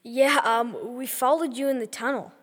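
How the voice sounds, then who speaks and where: A woman's voice: high voice, steady tone, moderate pace. A woman playing an easygoing, food-loving young boy in a children's audio drama, speaking in a casual, chummy way. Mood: sheepish.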